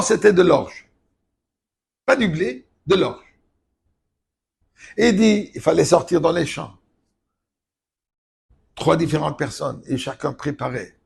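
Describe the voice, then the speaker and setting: An older man, a rabbi, giving a Torah lesson, emphatic and at times forceful. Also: fairly high pitch, gravelly, breathy edge. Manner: emphatic, rising to forceful outbursts.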